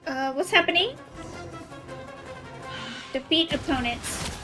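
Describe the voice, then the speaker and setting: A woman game streamer with a high voice chats casually as she plays. Her remarks are playful and slangy, and game music runs clearly behind her. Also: animated, slow pace, sharp tone. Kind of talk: casual live gameplay commentary.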